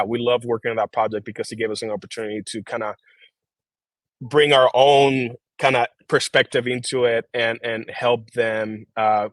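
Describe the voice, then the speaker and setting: A man in his thirties, a company founder, answering questions in a casual video call interview, halting and restarting often and switching between English and Spanish. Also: on the low side, no echo. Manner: relaxed, halting, many fillers and restarts. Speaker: founder and creative director, guest.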